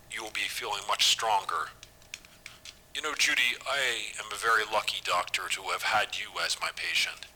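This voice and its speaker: A man playing a secretive, obsessive doctor in an audio drama, measured and precise in his speech. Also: fairly low pitch, slight background noise, rough recording. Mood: falsely soothing. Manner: measured, precise, hushed dictation. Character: secretive, clinical male doctor, falsely soothing, obsessive. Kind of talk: audio drama acting as taped medical notes.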